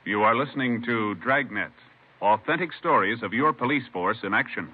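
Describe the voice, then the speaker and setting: A man's voice: mid-range voice, lively intonation, moderate pace, loud music behind the voice. A man making formal, emphatic scripted announcements on 1950s radio. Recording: tinny old radio, faint noise.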